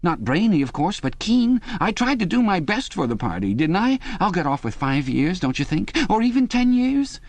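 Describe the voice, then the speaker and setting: A man doing a high, blubbering, panicky prisoner. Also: big pitch swings, no echo. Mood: pleading. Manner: high, fast, wheedling and blubbering. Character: panicky, servile middle-aged man pleading in a cell.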